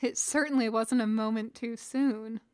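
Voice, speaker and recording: A woman voicing a calm, firm man who mentors a young apprentice, speaking in a measured way. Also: fairly high pitch, dry recording, close to an everyday voice. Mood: dry. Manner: measured, steady and stern.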